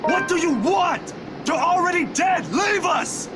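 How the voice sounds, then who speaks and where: A man's voice: very high voice, expressive, a little slow, loud music behind the voice, pitched above the performer's own voice. A man voicing an agitated, determined young man in a visual novel, with urgent, emphatic delivery. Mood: angry, defiant. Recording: loud background noise.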